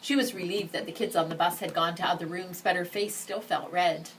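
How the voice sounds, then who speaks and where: A woman's voice: on the low side, fairly quick. A woman reading a children's picture book aloud expressively, adding brief asides. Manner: expressive, acts out the dialogue. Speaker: story reader. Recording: distant microphone, background noise.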